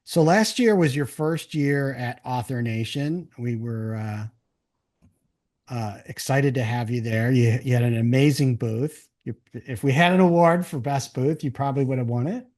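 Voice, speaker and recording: A man's voice: mid-range voice, a little slow, a little mellow. A man hosting a casual interview show, talking informally and rephrasing his questions as he goes.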